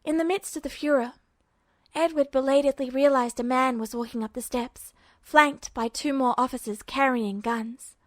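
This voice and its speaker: A woman's voice: high-pitched, fairly even intonation, normal speed, no room sound. A woman reading scripted fiction aloud as a narrator. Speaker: audiobook-style narrator of fan fiction.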